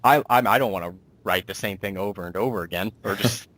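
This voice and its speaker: A man's voice: lowish voice, fast, a bit bright. A man hosting a casual interview as a director, explaining things in a rambling way and restating himself. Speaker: interviewer and director of an amateur audio drama.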